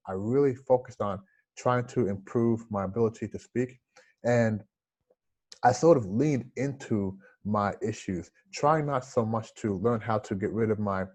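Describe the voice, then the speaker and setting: A man's voice: on the low side, a little mellow, husky. Earnest man with a slight lisp. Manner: earnest, reflective, gestures with hands. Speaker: interview guest, entrepreneur. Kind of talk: conversational interview storytelling.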